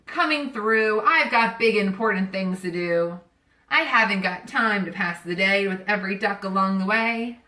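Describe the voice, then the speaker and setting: A woman's voice: medium pitch, moderate pace, bright, forceful tone, natural voice. A woman voicing a big, pompous, blustering dump truck in a children's storybook read-aloud. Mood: brash, hurried. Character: big self-important dump truck, brash and gruff. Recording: roomy echo, distant microphone.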